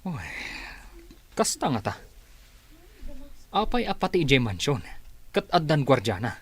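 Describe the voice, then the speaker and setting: A man's voice: mid-range voice, very animated, measured pace, a bit bright, breathy edge, heavily acted. A man with an Ilocano accent acting in a radio drama as a suspicious henchman. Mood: wary.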